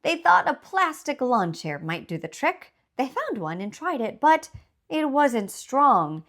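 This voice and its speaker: Slow, very expressive woman storyteller. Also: high voice. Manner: deliberate, expressive read-aloud phrasing. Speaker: children's author reading own book aloud. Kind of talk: scripted children's storybook narration.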